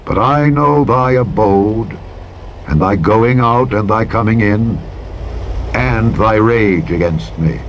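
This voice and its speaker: Male scripture narrator, lowish pitch, solemn and formal read, light truck noise underneath. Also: slow, dull audio. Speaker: audiobook Bible narrator. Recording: vehicle engine noise behind the voice. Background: truck driving sounds from a simulator game.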